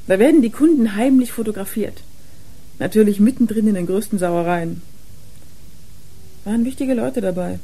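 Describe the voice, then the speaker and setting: A woman's voice: mid-range voice, moderate pace, long pauses, mellow, breathy edge. A woman acting a worn, defiant young drug user who confides in a German radio crime drama. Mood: frank, remembering.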